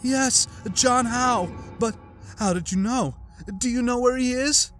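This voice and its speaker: A man voicing a young woman spy who pleads in a high, stuttering voice. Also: animated, slow, fairly bright tone, faint music behind, full character voice. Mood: frightened.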